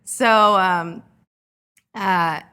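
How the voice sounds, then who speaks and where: A woman in her thirties from California, a startup founder speaking as a guest in a podcast interview, reflective and animated in her anecdotes, with frequent fillers. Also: on the low side, drawn-out words, clean tone. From California, Orange County. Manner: reflective, lively when telling anecdotes, frequent "um" and "like". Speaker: guest entrepreneur.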